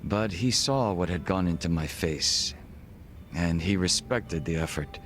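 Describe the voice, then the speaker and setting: A man voicing a refined, reserved gangster in a video game cutscene, speaking in formal, measured, polite phrases. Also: low-pitched, a bit bright, a little hiss or hum. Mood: somber, composed. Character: refined, reserved Korean gangster, a former body double.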